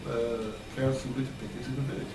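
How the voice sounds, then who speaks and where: Far from the mic, echoey room, man, councillor in discussion, measured delivery, noticeable noise. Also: mid-range voice, level tone. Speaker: parish councillor. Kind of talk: meeting discussion. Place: indoor parish council meeting room.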